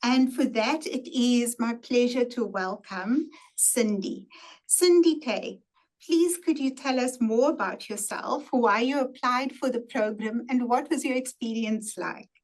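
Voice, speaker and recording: Polite higher-voiced woman moderator. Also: unhurried, poor audio. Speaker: webinar moderator. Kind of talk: hosting a university webinar.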